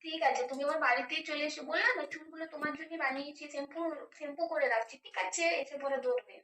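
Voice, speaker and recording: A woman's voice: high-pitched, fairly even intonation, fast talker, pushed and bright, echoey room. A woman on the receiving end of a phone call, answering dismissively and sarcastically. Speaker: prank call target.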